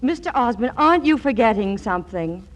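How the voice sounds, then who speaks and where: An actress playing a sharp-witted, exasperated young working woman with dry comebacks in a 1940s radio comedy. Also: fairly high pitch, lively intonation, lightly acted. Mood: dry, unimpressed. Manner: dry, wry, brisk comebacks. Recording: noisy vintage radio recording.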